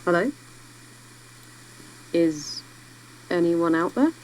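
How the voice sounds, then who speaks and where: A woman's voice: fairly low pitch, expressive, drawn-out words, a little mellow, slight background noise, low-quality recording. A woman playing a lone person radioing out from Earth in an audio drama, calling out repeatedly in a projected voice. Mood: searching, calling out.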